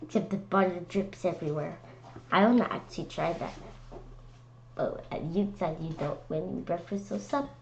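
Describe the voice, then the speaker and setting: A woman with a lowish voice reads a storybook aloud. She goes haltingly, tripping on words and repeating them, and drops in casual asides. The recording is slightly dull and lo-fi. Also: darkish tone, slight background noise. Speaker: read-aloud presenter.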